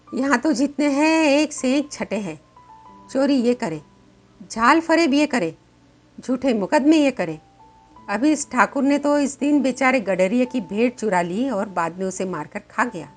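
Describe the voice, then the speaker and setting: A woman voicing a bitter, defiant poor village woman in a story, with emphatic rhetorical questioning. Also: fairly high pitch.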